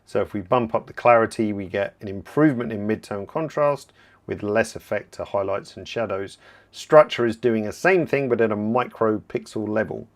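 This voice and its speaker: A man with a somewhat low voice leads a live software lesson, explaining each step as he clicks through it. He sounds relaxed and a little hoarse, clearing his throat from time to time. His delivery is conversational, with frequent asides as he fields questions. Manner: relaxed, explanatory, thinking aloud while working. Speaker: software trainer hosting a livestream. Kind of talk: live software tutorial with viewer Q&A.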